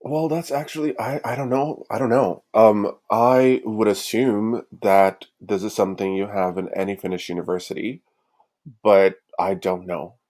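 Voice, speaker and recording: A young man is a guest on a podcast. His voice is low in pitch, and he talks in a loose, casual, conversational way. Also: steady tone, moderate pace, a little mellow. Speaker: podcast guest, student tutor. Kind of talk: casual chat.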